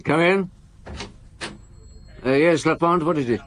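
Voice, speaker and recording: An actor playing a patient police chief inspector in a radio drama, asking measured, steady questions. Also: male voice, a little high, slight background noise, close to an everyday voice. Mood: probing. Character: calm, probing male police chief inspector.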